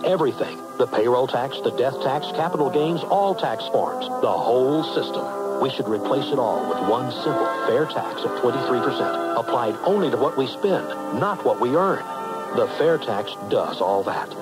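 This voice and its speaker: American man over loud ad music. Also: mid-range voice, expressive, moderate pace, poor audio. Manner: emphatic, list-like delivery. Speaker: political advertisement announcer. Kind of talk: scripted persuasive radio ad. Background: loud music bed.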